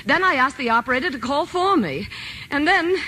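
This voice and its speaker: An actress playing an anxious, imperious, bedridden wealthy wife in an overwrought 1940s radio drama manner. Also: female voice, high-pitched, lively intonation, a little fast, sharp tone, poor audio. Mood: agitated. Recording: hissy vintage radio broadcast.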